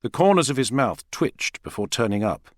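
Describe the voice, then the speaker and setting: A man's voice: medium pitch, lively intonation, moderate pace, dry recording, clean studio sound. A man reading a novel aloud as a scripted audiobook narration.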